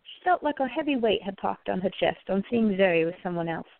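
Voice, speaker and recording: A woman's voice: medium pitch, animated, brisk, vocal fry, no echo, phone-quality sound. A woman reading scripted narration for a radio play.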